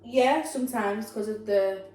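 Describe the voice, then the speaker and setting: A young woman chatting thoughtfully and softly as a podcast co-host. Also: mid-range voice, measured pace. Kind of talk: casual chat. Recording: echoey room, mic far off, faint noise.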